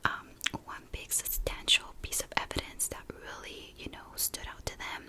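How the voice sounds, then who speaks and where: Whispering woman telling ASMR true crime. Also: moderate pace.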